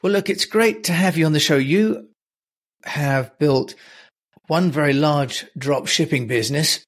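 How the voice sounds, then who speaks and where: A male podcast host introducing his guest and asking measured questions, with frequent "you know" fillers. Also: on the high side. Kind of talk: interview hosting.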